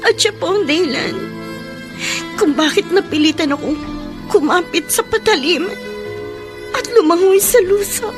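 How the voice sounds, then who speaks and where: A woman's voice: very high-pitched, animated, unhurried, pauses often. An actress playing a young mother in a radio drama, speaking humbly and tearfully. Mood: ashamed. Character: Marisa, young mother ashamed of her past. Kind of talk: Tagalog radio drama acting. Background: music.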